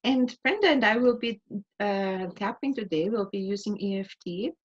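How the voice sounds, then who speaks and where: Calm measured woman, dull lo-fi audio. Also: medium pitch, breathy edge. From Austria. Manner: calm, measured, with many fillers. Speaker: host, EFT practitioner. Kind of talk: guiding a tapping session.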